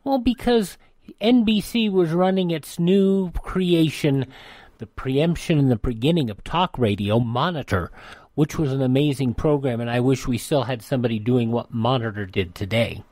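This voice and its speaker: A man's voice: mid-range voice, expressive, normal speed, darkish tone, no room sound. A man hosting a classic radio program, chatting casually and signing off with fillers. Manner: chatty, friendly sign-off with fillers. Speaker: radio host. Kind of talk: casual radio show outro.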